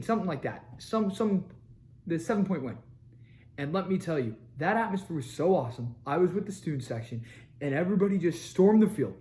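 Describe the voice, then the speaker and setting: A young man hosting a sports show, ranting with energy and repeating his points for emphasis, his voice a little raspy from screaming. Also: fairly high pitch, dark tone. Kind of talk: fired-up sports commentary to camera.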